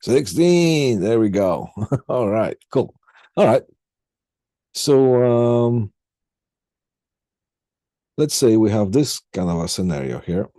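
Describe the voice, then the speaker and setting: A man giving an informal technical lecture as an instructor, explaining step by step in a halting way and putting questions to students. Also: on the low side, expressive, warm tone, no echo.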